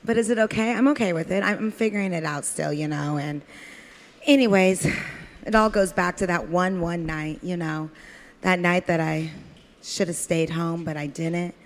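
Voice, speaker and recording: A woman's voice: fairly low pitch, normal speed, natural voice. A woman playing a lonely, put-upon waitress in a radio comedy, with a rambling, hesitant, self-deprecating manner. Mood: wistful, rambling. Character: lonely, put-upon drive-in waitress who loves her cat.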